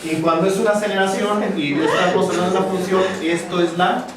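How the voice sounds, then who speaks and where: Chatty Mexican teacher in an echoey classroom. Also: male voice, high-pitched, little pitch movement, fast, noisy background. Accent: Mexican Spanish. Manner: chatty, joking asides. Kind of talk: university math lecture. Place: large classroom. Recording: echoey classroom, distant, students murmuring.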